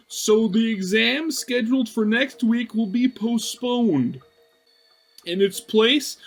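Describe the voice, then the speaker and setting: A man voicing a stiff, formal teaching assistant making a slow, measured announcement to a class in a visual novel. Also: sits high, fairly bright tone, pitched above the performer's own voice, heavily acted.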